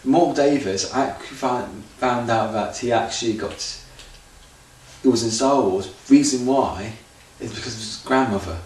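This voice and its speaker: A man's voice: medium pitch, moderate pace, a little mellow. A man talking off the cuff in an unscripted audition tape, rambling with loose grammar and restarts. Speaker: auditioning actor. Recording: very echoey room, far from mic, faint noise.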